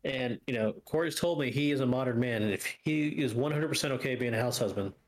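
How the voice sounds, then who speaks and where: A man's voice: mid-range voice, quick pace, low-quality recording. Man chatting casually as podcast co-host. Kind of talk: casual chat with live chat banter.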